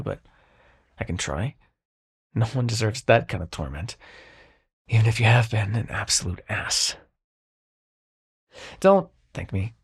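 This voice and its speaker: Immortal male dream spirit for ASMR roleplay, played by a man: gruffly caring, expressive pitch, fry-edged, mid-range voice. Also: full character voice. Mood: awkward concern. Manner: brusque, exasperated, halting, softening into concern. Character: grumpy, reluctantly kind immortal male dream-bringer.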